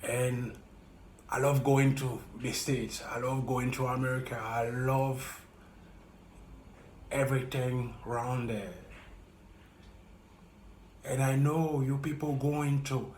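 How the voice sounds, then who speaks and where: Man, French accent, slow and earnest direct address, echoey room with faint noise. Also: mid-range voice, level tone, darkish tone. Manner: slow, earnest, halting and repetitive. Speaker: YouTuber. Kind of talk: heartfelt direct-to-camera talk.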